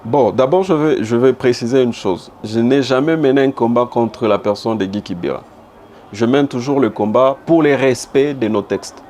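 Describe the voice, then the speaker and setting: A man with a Congolese accent answers as a politician in a broadcast interview. His voice sits at a middle pitch and he speaks emphatically, repeating phrases for rhetorical weight. There is faint background noise. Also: moderate pace, a little mellow. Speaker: politician and former humanitarian worker being interviewed. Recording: background noise and room ambience.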